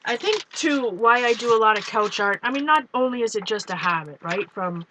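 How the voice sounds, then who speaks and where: Bright, chatty woman with a Canadian lilt. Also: fairly high pitch, expressive, a little fast, a little hiss or hum. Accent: Canadian with a Minnesota tinge. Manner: rambling, chatty, talks to viewers. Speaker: art journal vlogger. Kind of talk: casual chat while flipping through art journals. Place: home, on a couch.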